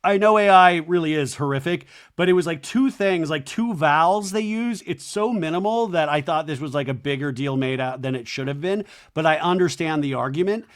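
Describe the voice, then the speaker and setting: A man's voice: fairly high pitch, fairly quick, few pauses, clear, resonant tone. A man hosting a casual pop culture podcast, talking chattily with frequent restarts and self-corrections. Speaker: podcast host.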